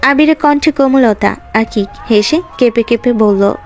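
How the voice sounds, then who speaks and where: A woman narrates a scripted romance. Her voice is on the high side, with faint music playing behind her. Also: moderate pace, a little mellow.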